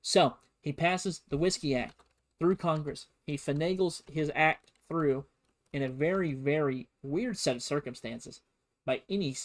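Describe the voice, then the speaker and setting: A man streaming a casual, comedic history lesson, full of sarcastic and playful asides. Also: medium pitch. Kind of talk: casual, comedic history lecture to a livestream audience.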